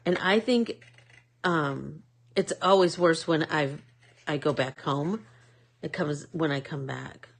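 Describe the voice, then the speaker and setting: A woman's voice: sits low, slow pace, vocal fry, breathy edge. A woman with a Midwest accent hosting a live art class, chatting casually and rambling with lots of fillers. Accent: American Midwest. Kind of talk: casual chat while painting.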